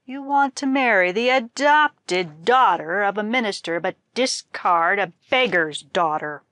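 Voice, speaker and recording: A woman's voice: mid-range voice, lively intonation, unhurried, fairly bright tone, no echo. Woman acting a male government minister, righteous, scornful, formal scolding, storybook folktale, dry sound. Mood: angry scorn.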